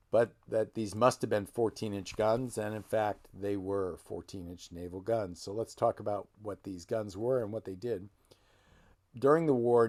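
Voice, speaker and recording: A middle-aged man with a gravelly voice hosts a casual genealogy talk, rambling a little and correcting himself as he goes. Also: on the low side, darkish tone. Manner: rambling, self-correcting, folksy. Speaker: genealogy channel host. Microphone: desk microphone close to him.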